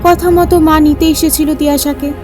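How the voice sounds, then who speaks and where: A woman's voice: high-pitched, level tone, normal speed. A woman narrator reading a scripted audio story. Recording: music clearly under the voice.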